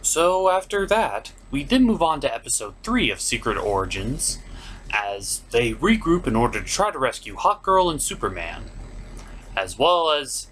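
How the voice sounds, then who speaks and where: Male review host, expressive pitch, sardonic, exasperated, sarcastic recap commentary, slight noise behind. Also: normal speed, low-quality recording.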